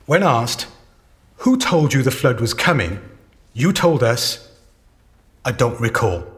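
An actor playing a persistent, sceptical official questioner at an inquiry in an audio drama, formal, deliberate and pointed. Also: male voice, mid-range voice, very animated, fairly bright tone.

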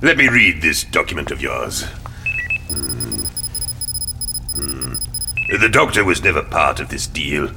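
An actor playing a smug, menacing businessman in an audio drama, unhurried, condescending and mock-polite. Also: rumbling low, sing-song, vocal fry, over music, heavily acted. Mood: smug, cold.

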